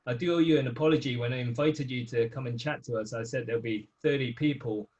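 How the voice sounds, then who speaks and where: A man's voice: medium pitch, fast talker, darkish tone, muffled recording. A man of thirty or forty-something with a mid-range voice acts as webinar host. He speaks informally, as on a video call from a living room. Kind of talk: informal introduction and hosting.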